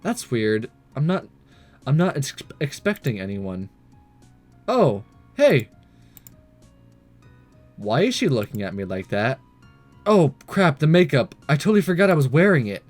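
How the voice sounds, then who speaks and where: A man's voice: mid-range voice, big pitch swings, a little slow, pauses often, soft background music, pitched below the performer's own voice. A man voicing an awkward, nervous teenage boy in a visual novel game, hesitant and flustered.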